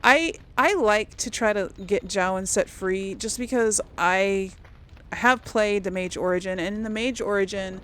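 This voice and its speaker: A woman's voice: medium pitch, a little slow, fairly bright tone, clean tone. A woman giving casual video game commentary, conversational and musing as she thinks aloud and talks herself through choices. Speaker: let's play host.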